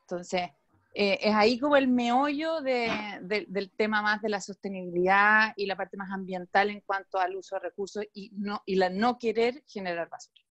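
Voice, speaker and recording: A woman's voice: mid-range voice, normal speed, fairly bright tone, no room sound. A woman in her thirties with a Chilean accent, chatting casually as a guest and explaining her work with many fillers. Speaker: guest, company general manager. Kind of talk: casual video-call conversation.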